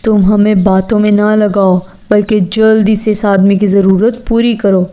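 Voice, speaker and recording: A woman's voice: medium pitch, level tone, unhurried, mellow, natural voice. A woman voicing a big, bossy forest tree in a children's story. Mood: impatient. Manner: commanding, dismissive.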